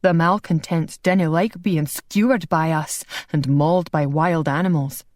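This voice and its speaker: A woman voicing a gruff, protective Scottish male vampire warrior with a Scottish accent. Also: low voice, measured pace, darkish tone, no echo, clean studio sound. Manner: gruff, fatherly.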